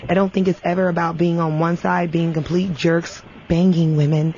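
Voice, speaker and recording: A woman's voice: on the low side, little pitch movement, moderate pace, a little mellow. Female panelist, conversational debating style, dull sound with light background noise. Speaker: talk show panelist. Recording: slightly dull, noisy audio.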